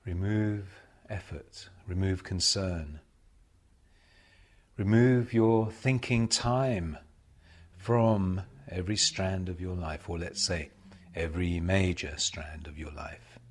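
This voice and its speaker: A man leading a guided meditation as a spiritual teacher, speaking solemnly and deliberately, with measured pauses and repeated phrases. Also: low-pitched.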